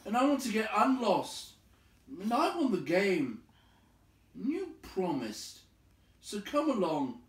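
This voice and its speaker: Plucky little storybook adventurer, voiced by a male reader: exasperated, insistent, higher-pitched calls in an echoey room. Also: wildly swooping pitch, slow pace, pauses often, heavily acted. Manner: insistent, calling out. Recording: echoey room, distant from mic.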